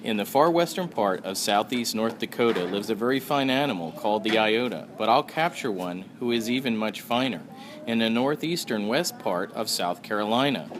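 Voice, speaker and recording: Animated lowish-voiced man reading aloud. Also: normal speed. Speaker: staff member reading aloud. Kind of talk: storybook read-aloud. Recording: faint background room noise.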